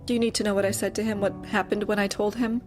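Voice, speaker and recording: Woman acting cowed female office worker: subdued, deferential, medium pitch, dark-comedy audio drama. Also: flat delivery, fast, soft background music, natural voice. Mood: quietly grieving. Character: meek, anxious young mother, a cowed female employee.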